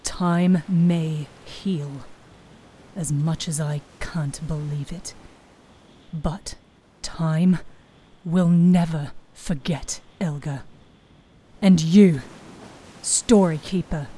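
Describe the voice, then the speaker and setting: A woman voicing an anguished, bitter man in a fantasy story, with halting, accusing delivery. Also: on the low side, mellow, slightly rough, full character voice. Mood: bitter, resentful.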